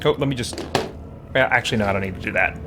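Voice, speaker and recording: A man's voice: lowish voice, quick pace. A man chatting casually as a gaming streamer, thinking aloud in a hesitant, rambling way. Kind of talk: casual chat while playing. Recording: music behind the voice. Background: game music.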